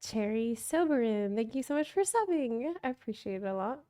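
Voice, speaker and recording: A woman's voice: on the high side, animated, brisk, dark tone. A woman live-streaming a game, chatting casually and reacting to what happens. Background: faint game music.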